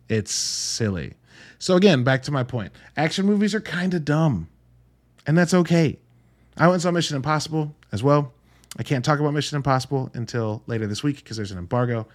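A male podcast host talks through films in an informal, conversational way. His voice sits at an average pitch for a man. He rambles into animated asides and drops casual swear words, as in an offhand solo review.

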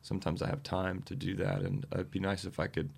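Deep gravelly man, tired scratchy voice. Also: little pitch movement, darkish tone. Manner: rambling, halting with many fillers. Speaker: touring musician guest. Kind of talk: casual interview chat.

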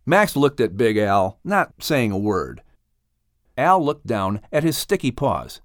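Expressive male storybook narrator, dry sound. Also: medium pitch, takes it slowly, no room sound. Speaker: audiobook narrator.

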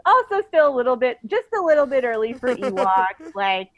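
A woman being interviewed on a casual podcast, telling stories in an animated way and acting out bits of dialogue. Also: on the high side, fairly quick, lo-fi sound. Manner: animated storytelling, quotes imagined dialogue, giggly. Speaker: author, interview guest.

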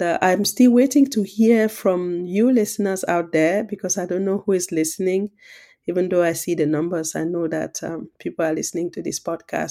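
A woman from Cameroon, speaking as a podcast guest in a soft, reflective way with hesitant fillers. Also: on the low side. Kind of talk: reflective conversation.

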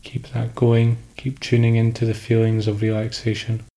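A man guiding a sleep meditation in calm, instructional short phrases with pauses between them. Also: mid-range voice. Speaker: meditation guide.

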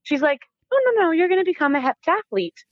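A Canadian-accented woman telling stories casually as an interview guest, animated and informal, acting out bits of dialogue as she goes. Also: extremely high pitch, brisk, dry recording, lo-fi sound. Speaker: interview guest, former Olympic athlete. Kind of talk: casual conversational storytelling.

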